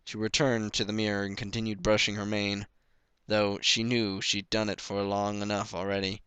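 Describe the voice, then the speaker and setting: A man's voice: fairly low pitch, level tone, moderate pace, bright, forceful tone, clean tone, no echo. A man reading a story aloud as scripted third-person narration. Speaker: story reader.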